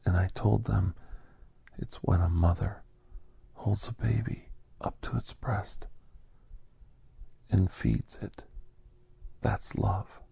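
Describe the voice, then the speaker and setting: A man playing a gentle, solemn doctor who tells a holiday story in a hushed, breathy, gravelly ASMR whisper, deliberate and reverent, with long pauses. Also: on the low side, fairly even intonation, heavily acted. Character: gentle, solemn male doctor recounting a holiday tale to aliens. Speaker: narrator and storyteller.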